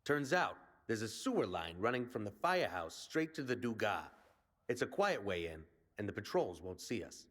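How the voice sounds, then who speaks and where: A man's voice: fairly low pitch, very animated, moderate pace, fairly bright tone. An actor playing a brash male resistance commander in a video game, rallying and plain-spoken like a soldier. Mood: confident briefing.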